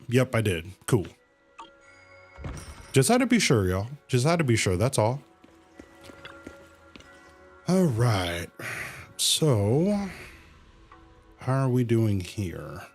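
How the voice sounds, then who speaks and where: Slow-talking, low-voiced man streaming a game. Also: sing-song, a little mellow, soft background music. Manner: addresses viewers as y'all, rambling. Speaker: livestream host. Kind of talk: casual game stream commentary.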